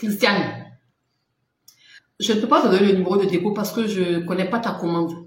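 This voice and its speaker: A woman's voice: mid-range voice, brisk. A woman selling skin products on a live stream, chatting casually, laughing often and answering viewers' comments, with a runny nose. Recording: very echoey room with faint noise.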